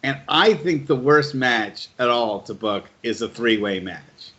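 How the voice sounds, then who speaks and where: A man's voice: mid-range voice, expressive, a little slow, bright, forceful tone, slight background noise. Male co-host, mid-range voice, blunt and opinionated chat, dull lo-fi recording. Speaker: show co-host. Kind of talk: casual interview chat.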